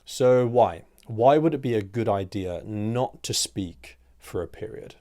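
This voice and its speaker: Man, slightly low voice, English teacher, measured explanatory read, phrases fade softer at the end. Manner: clear, deliberate teaching delivery with rhetorical questions. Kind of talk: scripted explanatory lesson.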